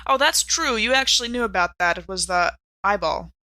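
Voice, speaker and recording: A woman's voice: medium pitch, lively intonation, fairly quick, pushed and bright, no echo. A woman improvising in character as a player in a tabletop role-playing game.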